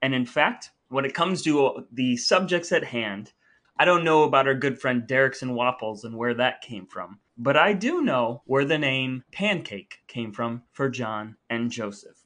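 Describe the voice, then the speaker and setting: Male podcast co-host, bright forward tone, casual storyteller. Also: medium pitch, animated, unhurried.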